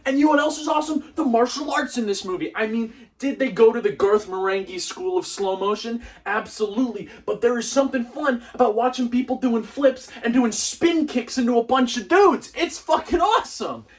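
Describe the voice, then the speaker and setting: A young man doing comedic movie review commentary in a sarcastic, casual, animated way. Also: very high-pitched, a little fast, few pauses, distant mic. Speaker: movie reviewer and video host.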